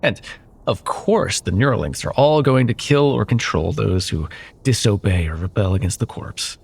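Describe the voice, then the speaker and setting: A man's voice: lowish voice, normal speed, vocal fry, breathy edge. A man playing an arrogant, possessive corporate CEO ex-lover in a cyberpunk ASMR roleplay, sardonic and menacing, with condescending pet names. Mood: frustrated, persuasive. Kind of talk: ASMR roleplay, dramatic monologue.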